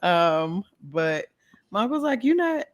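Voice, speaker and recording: A young woman co-hosting a casual podcast chat, a little hoarse, teasing and laughing often. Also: fairly low pitch, very animated, takes it slowly. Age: young adult. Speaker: podcast co-host.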